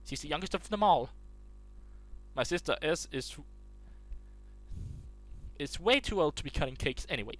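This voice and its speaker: A man's voice: fairly high pitch, expressive, normal speed, long pauses. Casual man reading online stories aloud. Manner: restarts and stumbles while reading aloud. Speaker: reader of online posts.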